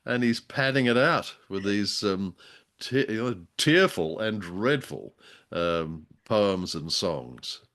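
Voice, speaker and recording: A man co-hosting a radio drama show, talking casually in a halting, wordy, bookish way. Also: fairly low pitch, animated, a bit bright.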